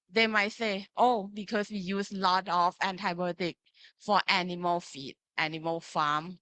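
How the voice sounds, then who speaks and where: Female lecturer, Thai accent, unhurried delivery, bright clear-cut tone, interactive class style with frequent little check-ins. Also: mid-range voice, dry recording. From Thailand. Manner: simple phrasing, often checking with "right?" and "okay". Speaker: pharmacy lecturer. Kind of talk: interactive online lecture with slides.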